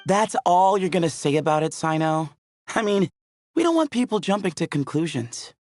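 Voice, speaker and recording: A man's voice: fairly high pitch, expressive, moderate pace, darkish tone, no room sound, studio quality, close to an everyday voice. A man playing an easygoing, friendly young leader in a video game, casual and breezy. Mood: casual, explaining.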